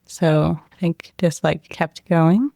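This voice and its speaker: A young woman with a low, gravelly voice talks through her past in a soft, reflective way. Her tone is mellow and the sound is close and dry, with no room around it. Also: slow pace, no room sound. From Israel, raised near Boston. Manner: soft-spoken, hedging with "like" and "I think". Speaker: internal medicine resident, interviewee. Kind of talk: reflective interview answers.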